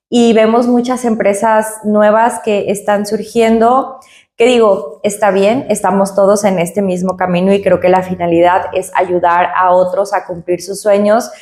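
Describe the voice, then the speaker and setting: A woman's voice: mid-range voice, a little mellow. Woman explaining in an echoey room. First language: Spanish. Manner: explains with analogies, frequent tag questions. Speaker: company director. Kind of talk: interview answers.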